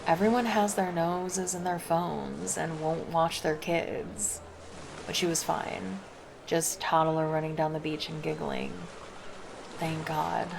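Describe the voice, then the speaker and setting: A woman's voice: low-pitched, fairly even intonation, takes it slowly, vocal fry, noisy background, exaggerated voice. A woman voicing a laid-back, flirty female lifeguard in an ASMR roleplay, chatting casually and teasing. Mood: easygoing.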